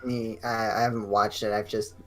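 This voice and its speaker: A man's voice: on the low side, moderate pace, soft background music. A young man chatting casually with friends on a game night stream, telling rambling stories full of restarts. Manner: rambling, animated storytelling with many restarts. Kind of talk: casual chat among friends.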